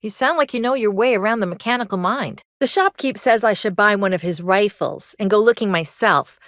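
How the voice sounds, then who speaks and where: An actress playing an anxious, earnest mechanic in a video game, with a folksy Southern US drawl and an apologetic manner. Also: female voice, mid-range voice, a little fast, a bit bright, no echo, clean studio sound, natural voice. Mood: earnest, concerned. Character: anxious, earnest young colony mechanic woman fond of her robot.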